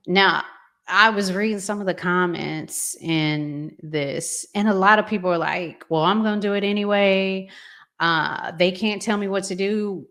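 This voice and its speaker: A middle-aged woman giving casual, unscripted commentary as a vlog host, thinking aloud in a halting, conversational way. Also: mid-range voice, fairly bright tone.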